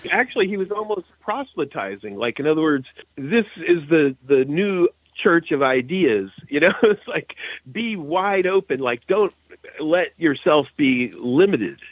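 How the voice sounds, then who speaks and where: A man's voice: a little high, expressive, measured pace, dry recording. A middle-aged man chatting casually as a podcast guest, often checking in with the listener as he goes. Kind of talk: casual chat. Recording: narrow, phone-like call line.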